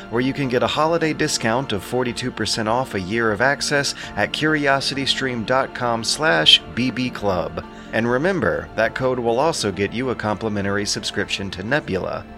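A man narrating and hosting a scripted audio production in a measured, prepared delivery. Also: medium pitch. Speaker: narrator and host. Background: music.

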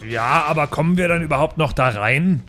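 A man's voice: on the high side, brisk, fairly bright tone, a little hiss or hum. A man playing in character in an improvised tabletop role-playing game, trading banter.

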